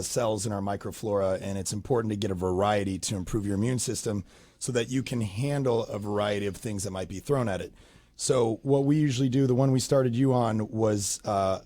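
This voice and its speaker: Male guest, lower voice, measured explainer, dry studio sound. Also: darkish tone, no echo. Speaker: probiotics company guest. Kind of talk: casual studio chat.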